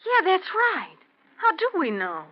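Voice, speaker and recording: An actress playing a conniving, plain-spoken rural wife with a pointed, folksy manner in a 1940s radio drama. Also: female voice, very high-pitched, huge pitch swings, a little slow, exaggerated voice. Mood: suspicious, scheming. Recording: muffled, noisy 1940s radio broadcast.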